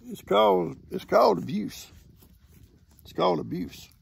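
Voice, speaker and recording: A man, hoarse from losing his voice, chatting and teasing his farm animals outdoors into a phone held at arm's length. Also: sits high, lively intonation, unhurried. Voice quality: gravelly. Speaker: farm vlogger. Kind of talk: casual talk to animals and camera. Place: outdoors under a shade tree on a farm.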